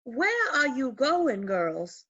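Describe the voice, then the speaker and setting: A woman's voice: sits high, sing-song, drawn-out words, bright, forceful tone, husky, lo-fi sound, exaggerated voice. A woman playing a fearful, gossipy old neighbour in a radio play, calling out in a folksy, alarmist way. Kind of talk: 1940s radio play acting.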